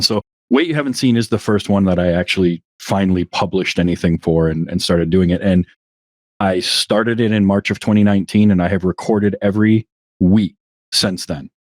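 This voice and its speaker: A low-pitched middle-aged man chatting as a podcast guest in a dry, close recording. He wanders through his memories, restarting and circling back as he talks. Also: darkish tone, no room sound. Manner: reflective, rambling with restarts. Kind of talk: casual conversation.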